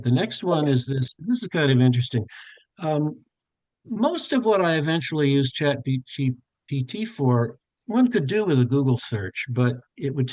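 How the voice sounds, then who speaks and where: A man's voice: medium pitch, mellow, no echo, poor audio. An older man leading a live online workshop for tutors, thinking aloud as he narrates each step on a video call, with frequent fillers and self-corrections. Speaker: tutor trainer. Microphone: webcam on a video call.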